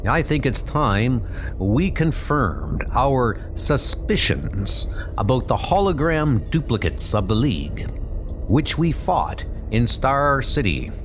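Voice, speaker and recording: A man voicing an earnest, authoritative superhero team leader in a comic book dub, with a brisk, orderly, commanding manner. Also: mid-range voice, sing-song, background noise. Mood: businesslike. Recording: music bed under the voice.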